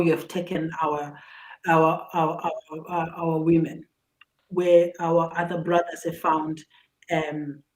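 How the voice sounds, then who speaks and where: A middle-aged woman with a Zimbabwean accent speaks slowly in a slightly low voice. She gives earnest advice in an interview, halting and repeating words as she looks for the right phrase. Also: darkish tone, poor audio. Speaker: talk show guest. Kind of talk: personal advice in a talk show interview.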